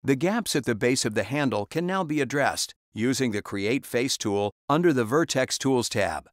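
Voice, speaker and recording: Male voice-over for a 3D software tutorial, mid-pitched, calm and instructional, dry close recording. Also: normal speed, dry recording.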